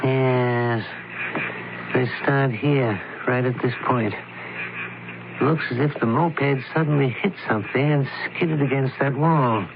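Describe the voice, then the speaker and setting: An actor playing a shrewd, methodical male criminologist, deliberate and authoritative, in 1970s radio drama style. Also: mid-range voice, vocal fry. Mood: intent, methodical. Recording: noisy, narrowband old radio broadcast.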